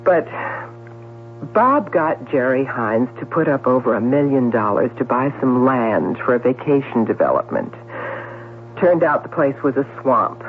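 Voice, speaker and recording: An actress playing a brisk, self-assured newspaper columnist in 1970s radio drama, composed and theatrical in delivery. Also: female voice, rumbling low, expressive, slight background noise. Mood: confiding. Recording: tinny, humming old radio broadcast recording.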